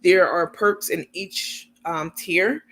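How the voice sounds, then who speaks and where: A man's voice: high voice, steady tone, slow pace, fairly bright tone, poor audio. A man hosting a casual livestream, chatting with his viewers and walking them through his screen step by step, with lots of ums and an easy irritation at small annoyances. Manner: chatty, explains step by step while sharing screen; many filler ums, gets irritated at small details. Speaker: channel host.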